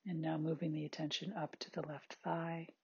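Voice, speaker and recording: A meditation guide with a husky, breathy, androgynous voice, giving gentle, unhurried body scan instructions in short spaced phrases. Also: medium pitch, little pitch movement, dry recording, dull audio. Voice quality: very husky, breathy, at times near-whispered.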